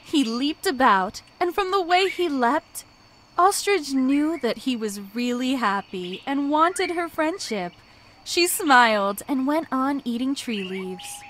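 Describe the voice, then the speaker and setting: A woman's voice: high voice, expressive, takes it slowly, fairly bright tone, slight background noise. A woman narrating a scripted children's bedtime story as storyteller.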